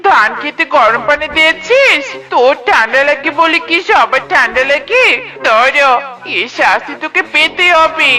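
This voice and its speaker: A woman's voice: high voice, animated, normal speed, pushed and bright. A woman voicing a bossy, dramatic wife in a comedy cartoon, scolding and exclaiming. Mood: furious scolding. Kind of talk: Bengali comedy cartoon acting. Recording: music under the voice.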